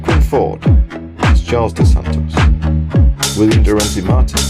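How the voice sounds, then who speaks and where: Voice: medium pitch, lively intonation, normal speed. An announcer reading scripted cast credits, name after name. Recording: loud electronic music behind the voice. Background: loud electronic music.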